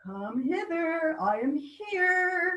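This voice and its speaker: A woman's voice: very high voice, big pitch swings, very slow, fairly bright tone, exaggerated voice. Muffled and a little far from the mic, a woman plays a mischievous goblin servant of the fairies. The verse is theatrical and teasing, as in an amateur Shakespeare reading on a video call. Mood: mocking. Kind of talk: Shakespeare table read in verse.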